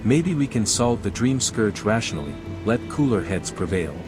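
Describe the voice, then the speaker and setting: A man's voice: on the low side, sing-song, normal speed, over music. An actor voicing a courteous, composed male scholar envoy in a video game. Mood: calm, reasoning. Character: smooth-talking, reasonable male envoy, godlike scholar adventurer.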